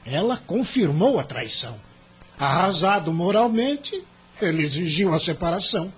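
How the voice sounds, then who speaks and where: A Brazilian actor playing a cynical, money-minded senior lawyer in an audio drama, emphatic and condescending. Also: male voice, sits high, very animated, moderate pace, fairly bright tone, husky, highs cut off, heavily acted. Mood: grave, gossipy. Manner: emphatic, condescending, theatrical delivery.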